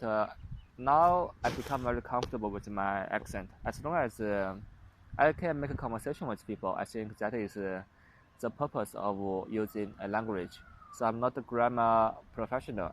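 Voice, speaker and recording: A man's voice: fairly low pitch, low-quality recording. Young male vlogger, Chinese accent, hesitant and unpolished, slight background hiss. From China. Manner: halting, many fillers and restarts. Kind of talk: casual monologue to camera.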